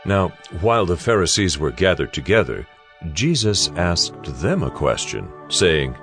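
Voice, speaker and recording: A man narrating scripture in a deliberate, storytelling way. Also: sits low, sing-song, fairly bright tone, soft background music.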